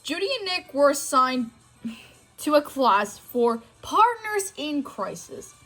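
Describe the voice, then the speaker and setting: A woman's voice: high-pitched, sing-song, takes it slowly, bright, forceful tone, faint music behind, low-quality recording. A woman narrating a children's storybook read-aloud, telling the tale expressively. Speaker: storybook reader.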